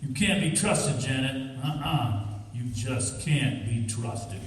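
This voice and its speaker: An actor playing a suspicious, cold small-time crook in an old-time radio crime drama, talking tough and sardonic slang. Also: male voice, mid-range voice, expressive, slow pace. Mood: cold, threatening. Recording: echoey hall, distant mic, faint noise.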